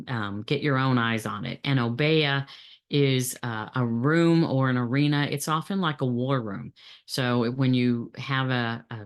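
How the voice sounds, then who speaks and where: A middle-aged woman gives a training presentation on supply chain methods, speaking plainly with frequent fillers and restarts. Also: very deep voice.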